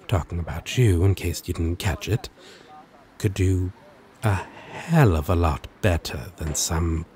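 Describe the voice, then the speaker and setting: A man playing a lovesick, teasing demon in an intimate ASMR audio roleplay, halting and self-deprecating, with long pauses. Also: low-pitched, darkish tone.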